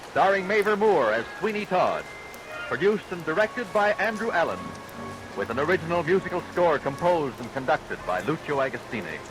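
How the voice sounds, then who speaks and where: Man as old-time drama announcer: formal, theatrical, animated delivery over music. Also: on the high side, rough recording. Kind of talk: vintage scripted radio announcement. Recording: old radio broadcast over music and rain.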